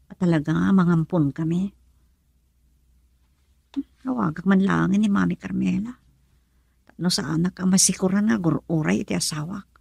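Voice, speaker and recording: Casting: aching childless wife, Ilocano radio melodrama, Filipino accent, crackly voice, very dry recording. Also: female voice, medium pitch, vocal fry, a little mellow, slightly rough, no echo. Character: sorrowful childless wife longing for a baby.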